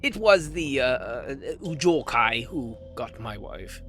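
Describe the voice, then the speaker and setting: A man voicing a gruff, hot-tempered male dragon in an animated fantasy, blunt and halting. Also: medium pitch, faint music behind, exaggerated voice. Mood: awkward, hesitant. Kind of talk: animated fantasy drama acting.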